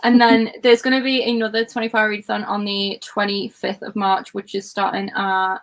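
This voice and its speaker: Scouse woman hosting a relaxed chat; warm giggles, filler-heavy talk. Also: medium pitch, brisk. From Liverpool, England. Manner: chatty, giggly, many ums. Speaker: host. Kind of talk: casual livestream chat.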